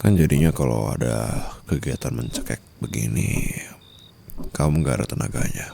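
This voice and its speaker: A man's voice: a bass voice, moderate pace, gravelly, mellow, a little hiss or hum, pitched below the performer's own voice, heavily acted. A man playing a dominant, teasing rich husband in an intimate ASMR roleplay, taunting and possessive, mixing Indonesian with English. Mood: smug teasing.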